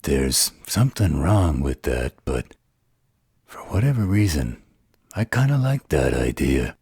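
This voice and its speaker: A man playing a sardonic, flirtatious vampire interrogator in an audio drama, with a slow, sarcastic delivery. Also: a little mellow, dry recording, studio quality, exaggerated voice. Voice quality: very deep, gravelly, husky. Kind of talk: dramatic audio roleplay acting.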